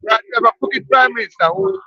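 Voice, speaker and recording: A man's voice: very high voice, animated, quick pace, breathy edge, dry recording. A middle-aged man with a Jamaican accent, a protester interviewed outdoors, emphatic and repeating himself, often asking "right?". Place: outdoors on a wet street. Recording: dull lo-fi outdoor recording.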